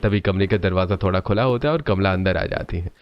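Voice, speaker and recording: A man's voice: deep voice, quick pace. A man narrating a scripted cartoon story. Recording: muffled audio over loud music.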